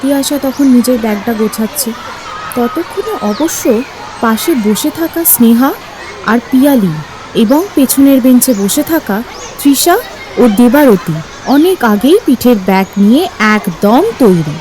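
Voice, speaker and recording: A young woman narrates a scripted story in a high voice. Clear street ambience and traffic noise run behind her. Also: expressive, unhurried, a little mellow, poor audio. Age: young adult.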